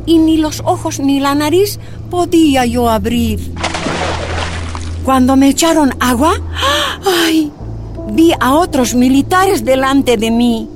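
An actress narrating as a miner's wife recounting her torture in a radio drama, grave and measured. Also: high-pitched. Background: soft music.

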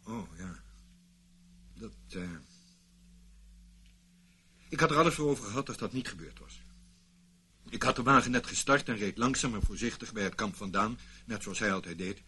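A man's voice: on the low side, lively intonation, normal speed, pauses often, sharp tone, husky, full character voice. A man playing an evasive, self-justifying British ex-army officer in a Dutch radio play, sometimes boastful, sometimes hesitant and defensive. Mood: defensive, uneasy. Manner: boastful storytelling, hesitant and defensive when challenged. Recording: noisy old radio play recording.

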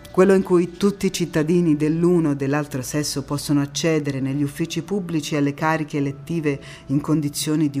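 Actress as young female parliamentarian, theatrical, principled and firm, oratory and quiet recollection, over music. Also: low-pitched, natural voice. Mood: reflective, subdued. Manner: impassioned oratory alternating with quiet intimate narration. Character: young female Constituent Assembly deputy, firm, indignant, reflective, ironic. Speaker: stage actress. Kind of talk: theatrical monologue.

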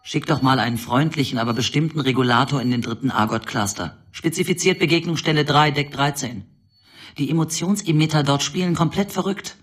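An actor playing a wry, easygoing control-center operator in a science fiction radio drama, breezy and colloquial. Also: male voice, on the high side, fairly quick, fairly bright tone, faint music behind. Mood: wry, alert.

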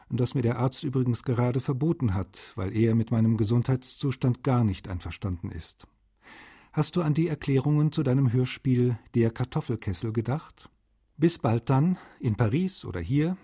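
An actor playing a male translator who reads wry, friendly letters in a soft, husky voice for a radio feature. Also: medium pitch. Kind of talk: scripted radio feature reading.

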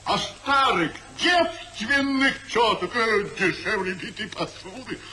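An actor playing a rich, sentimental old uncle in theatrical 1950s radio comedy, declamatory and full of exclamation. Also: male voice, sits high, expressive, takes it slowly, sharp tone, exaggerated voice. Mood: comic indignation. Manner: declamatory, exclaiming, savours words with comic indignation. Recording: roomy, faintly noisy 1950s radio recording.

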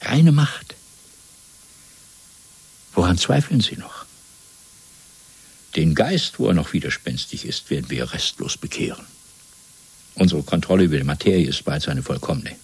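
A man playing a cold, fanatical party interrogator in a German radio drama, lecturing deliberately and domineeringly with a husky, breathy voice. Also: very deep voice, big pitch swings, full character voice. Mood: fanatical, insistent. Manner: didactic, deliberate, swelling from hushed to emphatic.

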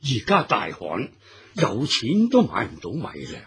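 An actor playing a poor, defensive old father-in-law in a Cantonese radio drama, with theatrical drawn-out sighs. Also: medium pitch, huge pitch swings, breathy edge, full character voice. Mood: weary.

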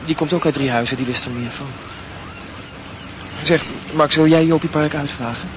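A man playing a touchy, lovesick secondary-school student in a radio drama, speaking haltingly and repeating words. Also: a little high, close to an everyday voice. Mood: insistent. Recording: noisy radio drama recording.

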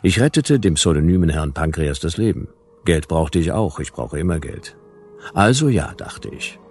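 An actor playing a wry, world-weary private detective in a sci-fi radio drama, with laconic, deadpan narration and dialogue. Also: male voice, a bass voice, normal speed, faint music behind, exaggerated voice. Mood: dry, wry.

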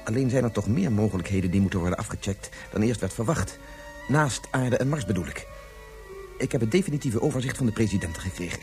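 An actor in a Dutch radio drama playing a guilt-ridden, determined scientist and father, speaking in an earnest, measured, insistent way. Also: lowish voice, animated, darkish tone, faint music behind.

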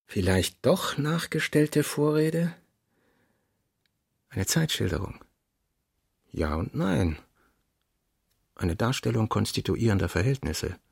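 Brooding writer muttering terse notes to himself, middle-aged, husky, dry close sound, radio play. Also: male voice, mid-range voice, animated, darkish tone, dry recording, exaggerated voice. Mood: self-questioning. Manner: deliberate, terse, aphoristic. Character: brooding middle-aged male novelist reading out his working notes. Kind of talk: literary radio play monologue.

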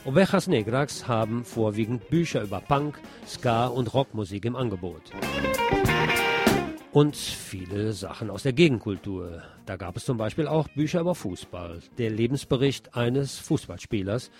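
A man's voice: mid-range voice, animated, moderate pace, loud music behind the voice. A man hosting a radio magazine, reading scripted news reports in a presenter's role. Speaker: radio host and presenter, also an author.

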